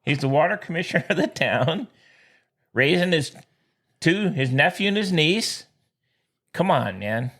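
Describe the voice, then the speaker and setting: A man's voice: a little high, expressive, a little slow, long pauses, fairly bright tone. A man co-hosting a podcast in casual banter, exuberant and jokey, given to theatrical catchphrases. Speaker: podcast co-host.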